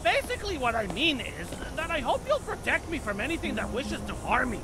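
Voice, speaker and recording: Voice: sits high, very animated, brisk. An actor voicing a small, chatty, boastful rat creature in a video game, rambling with hesitant asides. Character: small chatty boastful skeever, hard-drinking step counter. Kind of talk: scripted game companion dialogue. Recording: loud music behind the voice.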